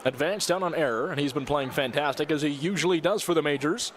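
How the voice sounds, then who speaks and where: Young guy calling a ball game outdoors, slightly high voice, chatty, gets loud on strikeouts. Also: fast. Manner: upbeat, chatty, rises sharply on strikeouts. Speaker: play-by-play broadcaster. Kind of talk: live baseball commentary. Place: outdoor ballpark.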